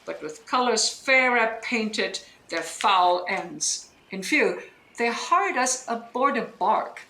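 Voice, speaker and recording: A woman's voice: on the high side, lively intonation, takes it slowly, pushed and bright, full character voice. A woman playing a bitter, scholarly deposed duchess and sorceress mother in Shakespearean audio drama, speaking in a declamatory, emphatic way that demands attention. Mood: grave, intent. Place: indoor room, recorded over internet link. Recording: roomy remote recording, noise.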